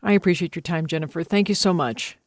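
An American man with a slightly high voice works as a radio host. He interviews and presents in a conversational public radio style. The sound is very dry. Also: fast, no echo.

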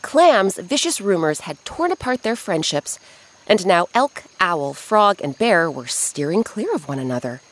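Animated, low-voiced woman storyteller. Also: unhurried. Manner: animated, audience-addressing storyteller. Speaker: storyteller and host. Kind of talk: scripted narration for children's folktale podcast.

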